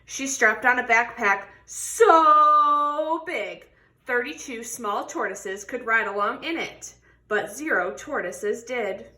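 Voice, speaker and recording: Bright, slow-paced woman reading a picture book. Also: on the high side. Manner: expressive, drawn-out emphasis for young children. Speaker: read-aloud storybook reader. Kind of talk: animated children's picture book read-aloud with questions to listeners.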